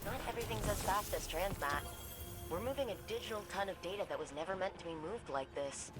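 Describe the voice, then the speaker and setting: Actress as brisk, wry woman operator over loud music. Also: medium pitch, animated, lightly acted. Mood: wry, focused. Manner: brisk, dry, casually wry. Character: brisk, wry female tech operator guiding a soldier by comms. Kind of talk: video game voice acting. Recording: loud game music and vehicle noise behind.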